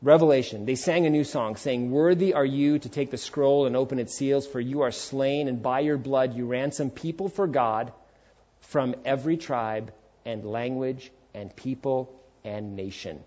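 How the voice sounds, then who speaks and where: A man's voice: mid-range voice, normal speed, mellow, muffled recording. A man in his forties preaching a sermon in an emphatic, informal, conversational way. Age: 40s. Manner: emphatic, informal, with rhetorical questions and acted-out quotes. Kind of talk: sermon with casual, conversational asides.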